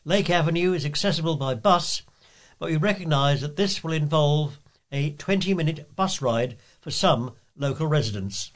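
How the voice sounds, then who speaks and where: A man's voice: a little high, normal speed. A man reading scripted local news items aloud as a volunteer news reader.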